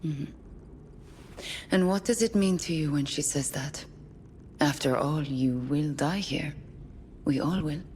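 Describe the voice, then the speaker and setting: A woman playing a composed, probing therapist in a space colony for a game audio log, speaking in a calm, clinical, measured way. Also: sits low, long reverb tail, a little hiss or hum. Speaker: doctor, therapist.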